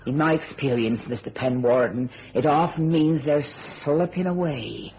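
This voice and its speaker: A woman's voice: deep voice, a little slow, a little mellow, slightly rough, full character voice, poor audio. A woman playing a chatty, superstitious, kindly English charwoman in a radio thriller, folksy and ungrammatical, with colourful turns of phrase. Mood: earnest, confiding.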